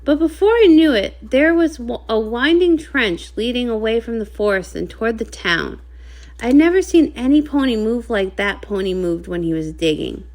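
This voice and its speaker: Woman, storybook narrator, higher-pitched voice, lively intonation, expressive scripted reading. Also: moderate pace. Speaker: read-along narrator.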